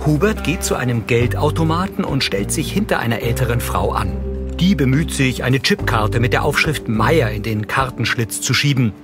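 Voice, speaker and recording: A man's voice: medium pitch, animated, normal speed, over music. A man reading scripted audio description as a narrator.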